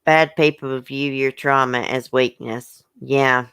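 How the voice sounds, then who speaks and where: A woman's voice: very deep voice, steady tone, slow, fairly bright tone, clean tone, dry recording. A woman hosting a livestream, upset and hurt, bluntly venting and reading viewer comments aloud in casual, swearing speech. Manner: emotional, blunt, colloquial, swears. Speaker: YouTube channel host.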